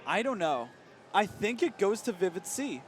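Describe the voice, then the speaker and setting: A man casting a live esports match at a big venue, excitable and fast with his calls, his voice worn and hoarse from shouting. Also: high-pitched, slight background noise. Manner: excitable, rapid play-by-play with exclamations. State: losing his voice. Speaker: esports commentator. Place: large tournament venue.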